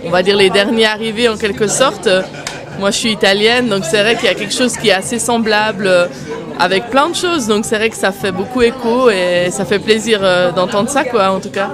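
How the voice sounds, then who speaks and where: A woman at an event, chatting in a relaxed way with loose fillers. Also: mid-range voice, a little fast, over music. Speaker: event guest. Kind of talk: casual chat.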